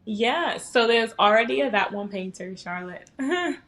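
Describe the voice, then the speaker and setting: A woman's voice: a little high, expressive, measured pace, a bit bright. A woman with an American accent talking casually and informally as a guest in a podcast interview. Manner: informal, slangy. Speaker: podcast guest, small business owner.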